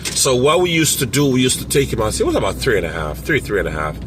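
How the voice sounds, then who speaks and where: A man talking casually to camera as a parent coach, rambling with many fillers and restarts, with a strong, loud voice. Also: mid-range voice, big pitch swings, fast, background noise.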